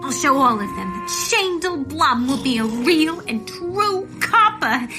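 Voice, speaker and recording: A woman's voice: high-pitched, expressive, unhurried, over music. A woman voicing an eager, determined young female would-be police officer, earnest and peppy, in a comedic audio drama.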